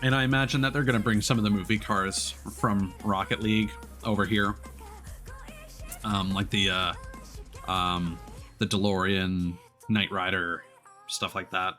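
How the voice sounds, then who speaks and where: A man's voice: sits low, fairly even intonation, unhurried, sharp tone. A man giving casual livestream gaming commentary, chatting as a streamer and swearing casually. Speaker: game streamer. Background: game music and racing car sounds.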